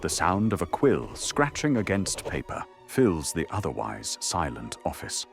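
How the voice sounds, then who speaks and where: A man's voice: deep voice, wildly swooping pitch, a little slow, vocal fry, faint music behind. A man narrating an audio drama in a dramatic, scripted storytelling style.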